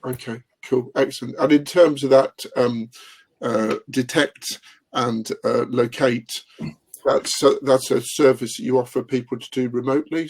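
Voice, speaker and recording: A man chairing an online conference, asking questions and introducing speakers in a polite, brisk, upbeat manner over a video call. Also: medium pitch, a little mellow, husky. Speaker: event chair and host.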